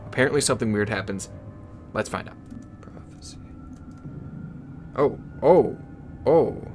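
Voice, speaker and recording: A man whose first language is Igbo, hosting a casual vlog in an excitable, swearing, often disbelieving way. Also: mid-range voice, unhurried, over music. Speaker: YouTube host.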